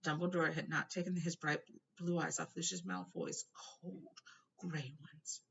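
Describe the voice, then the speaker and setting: A woman narrates a children's story aloud with expression. Her voice is creaky and bright. The home recording is a little dull, with faint noise. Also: low-pitched, a little slow. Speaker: storybook reader.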